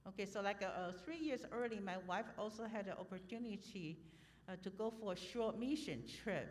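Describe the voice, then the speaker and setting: A woman's voice: medium pitch, animated, normal speed, a little hiss or hum. A woman interpreting a sermon into English, hesitant and self-correcting with many fillers. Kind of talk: consecutive church interpreting. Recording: long hall reverb.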